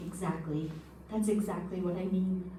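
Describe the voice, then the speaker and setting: Woman playing an anxious male informant, mellow, echoey. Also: fairly low pitch, steady tone, moderate pace, lightly acted. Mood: grave, convinced. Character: anxious, earnest male informant fearing for his life. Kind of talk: old-time radio drama acting. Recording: echoey room, distant mic, background noise.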